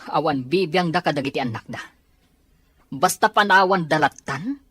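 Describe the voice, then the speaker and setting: Man playing an earnest husky Ilocano uncle. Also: on the high side, normal speed, a bit bright, no room sound. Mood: pleading, frustrated. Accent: Filipino, Ilocano. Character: caring, responsible younger brother and uncle, earnest, frustrated. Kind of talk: audio drama acting.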